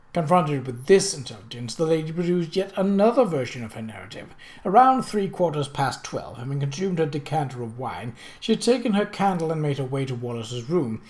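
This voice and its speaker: Expressive mid-pitched audiobook narrator. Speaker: audiobook reader. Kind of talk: scripted narration of a period mystery novel.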